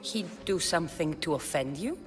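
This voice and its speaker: A woman's voice: low-pitched, animated, moderate pace, faint music behind. An actress playing a tough, wry Greek mercenary woman in a video game, speaking in a dry, guarded, blunt way. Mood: skeptical.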